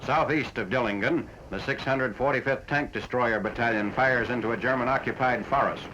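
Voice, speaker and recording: A man reading a scripted 1940s military newsreel narration in a formal, factual, measured manner. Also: medium pitch, pushed and bright. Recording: dull, noisy 1940s film soundtrack.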